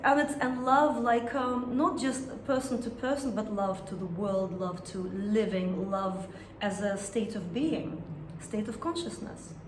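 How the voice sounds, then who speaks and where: Russian-accented woman singer, reflective interview talk that pauses and restarts to find words; roomy sound. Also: medium pitch. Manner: thoughtful, searching for words, frequent restarts. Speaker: singer and songwriter. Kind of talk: interview conversation. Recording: echoey room with some noise.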